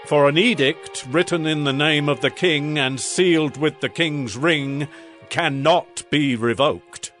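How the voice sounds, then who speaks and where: An actor playing a grave, commanding Persian king in a dramatized scripture reading, stately and deliberate. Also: fairly high pitch, a bit bright. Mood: regal, decreeing. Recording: music behind the voice.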